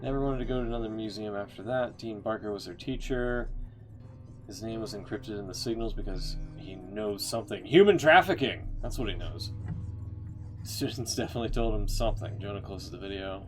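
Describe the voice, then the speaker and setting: A man with a fairly low voice gives casual stream commentary. He rambles in a halting, wry way, with guitar music clearly playing behind him. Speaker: game streamer.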